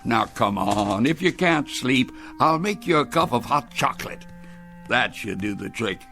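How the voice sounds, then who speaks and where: Creaky, halting old grandfather. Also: mid-range voice, expressive, pushed and bright, soft background music, full character voice. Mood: fondly scolding. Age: older. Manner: folksy, halting, stammering. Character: gentle, stubborn old great-grandfather, newly lifted in spirit. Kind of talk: radio drama acting.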